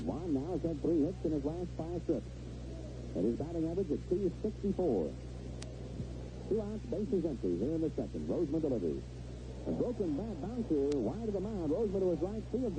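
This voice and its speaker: A man's voice: a little high, sing-song, a little fast. A man calling baseball play-by-play live on a noisy vintage seventies radio broadcast. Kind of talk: live sports commentary.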